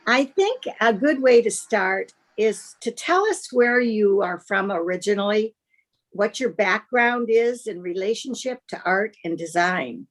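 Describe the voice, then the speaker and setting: A woman's voice: mid-range voice, animated, measured pace, poor audio. Gracious older woman moderating a panel. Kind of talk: formal panel introduction.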